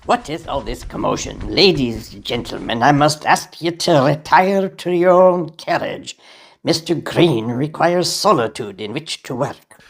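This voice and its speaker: A man's voice: fairly high pitch, animated, unhurried, creaky, full character voice. A man acting an officious but polite Victorian train conductor in an audio drama.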